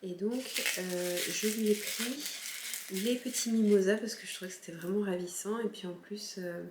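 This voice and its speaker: A woman's voice: mid-range voice, fairly even intonation, moderate pace. A woman from southern France chats casually on her knitting podcast as she shows off small purchases. She talks hesitantly, full of fillers and restarts. The room sounds echoey, with a faint hiss behind her. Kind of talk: casual show-and-tell chat.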